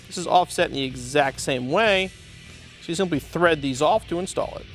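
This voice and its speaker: A man presenting an instructional product demonstration as a tutorial host, explaining things clearly step by step. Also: a little high, moderate pace, a bit bright, faint music behind. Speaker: host of car parts installation tutorial.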